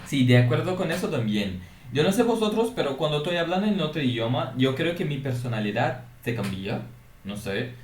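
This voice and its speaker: A man from Brazil with a Brazilian accent, hosting a reaction video in a soft, earnest, praising way. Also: fairly high pitch, sing-song, fairly quick. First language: Portuguese. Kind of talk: casual reaction commentary. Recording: echoey room.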